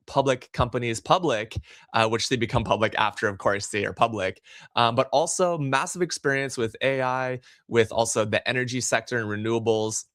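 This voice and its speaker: Male livestream host, eager and effusive, mid-pitched voice, dry close recording. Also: fairly bright tone, no echo. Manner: enthusiastic, effusive. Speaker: podcast host. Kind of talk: livestream interview hosting.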